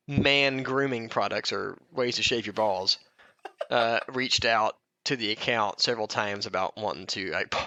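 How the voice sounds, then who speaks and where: A man's voice: lowish voice, creaky, bright, forceful tone, no room sound, highs cut off. A man co-hosting a loose comedy podcast, chatting in a wry, self-deprecating and casually crude way. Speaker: podcast co-host.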